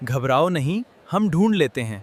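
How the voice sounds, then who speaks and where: A man voicing a friendly, helpful vegetable in a children's cartoon, with an expressive, moralizing manner. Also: on the high side. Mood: warm, earnest.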